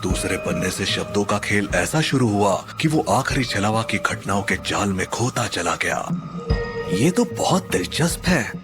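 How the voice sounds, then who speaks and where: A man's voice: fairly low pitch, animated, normal speed, hardly any pauses. A man narrating a scripted horror story in a dramatic, suspenseful manner. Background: music.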